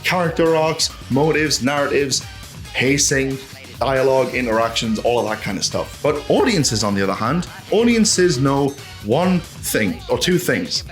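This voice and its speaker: A man giving a casual, opinionated video essay in an animated, ranting manner, full of rhetorical questions and swearing. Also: mid-range voice, unhurried, soft background music. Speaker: host of a video essay channel.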